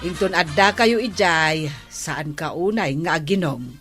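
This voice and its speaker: A woman's voice: sits low, measured pace, over music. A woman with an Ilocano accent playing a nagging, plain-spoken village wife in a radio comedy drama. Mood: scolding.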